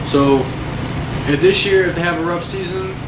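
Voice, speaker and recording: Man, slightly high voice, casual sports host, slangy and profane, distant mic, heavy background noise. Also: normal speed. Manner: slangy, profane, bro-talk. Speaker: host of a sports talk show. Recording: roomy, distant mic, loud air-conditioning hum.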